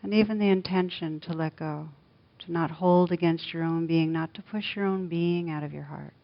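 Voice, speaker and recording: Soft-spoken woman guiding a meditation. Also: on the low side, steady tone. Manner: slow, soft, gentle guidance with long pauses. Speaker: meditation teacher. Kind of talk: guided meditation. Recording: dull lo-fi recording.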